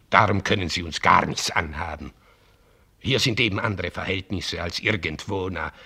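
An old father in a radio drama, with a creaky, husky voice. He is anxious and weighed down by guilt, speaking slowly and haltingly, then swinging high into outbursts. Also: sharp tone, full character voice. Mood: dismissive, assured. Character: anxious, guilt-ridden old father, simple Prague man. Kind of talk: radio play acting.

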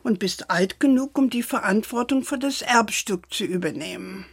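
An actress playing an older, indulgent grandmother telling stories in a children's radio play, with a playful, calm manner. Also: mid-range voice, creaky, breathy edge, dry recording. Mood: confiding. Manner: unhurried, sprinkles English endearments.

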